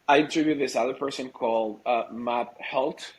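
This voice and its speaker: A man's voice: medium pitch, fairly even intonation, moderate pace, poor audio. Informal man from Argentina, native Spanish speaker. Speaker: podcast guest, startup growth executive. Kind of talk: casual interview conversation.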